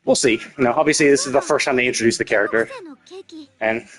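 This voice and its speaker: Male streamer, mid-pitched, conversational game commentary, rambling and self-correcting, music underneath. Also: fast, fairly bright tone. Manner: rambling, thinking aloud, repeating and rephrasing himself. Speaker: live streamer. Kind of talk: casual speculative game commentary.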